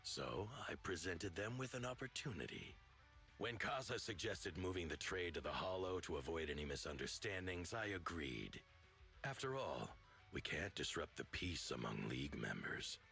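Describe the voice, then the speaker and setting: A big stern biker league boss for a game, deep, really animated, formal and commanding. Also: male voice, normal speed, creaky, soft background music, full character voice. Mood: measured, authoritative. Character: imposing male overlord leader of a motor league, stern, dignified.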